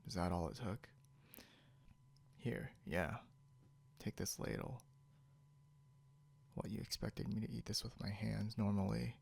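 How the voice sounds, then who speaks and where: A man playing a possessive, grudgingly caring non-human captor in an intimate ASMR roleplay, gravelly and often slipping into a whisper, muttering haltingly and condescendingly, with noise behind him. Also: fairly low pitch, darkish tone, heavily acted. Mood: teasing, exasperated. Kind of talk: ASMR roleplay, intimate second-person acting. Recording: noticeable background hiss or hum.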